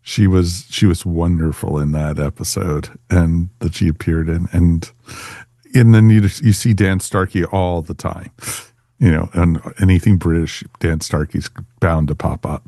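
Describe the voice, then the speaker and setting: A man's voice: sits low, steady tone, a little slow, warm tone, no echo, clean studio sound. A man hosting a podcast in casual panel chat. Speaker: podcast host.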